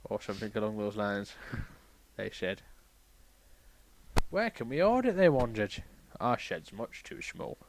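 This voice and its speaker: A man playing a crabby, boastful railway boss who reads a storybook aloud with irreverent, deadpan asides. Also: on the low side, unhurried, a little hiss or hum. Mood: wry storytelling. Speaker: comic storybook reader. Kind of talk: irreverent ad-libbed storybook reading.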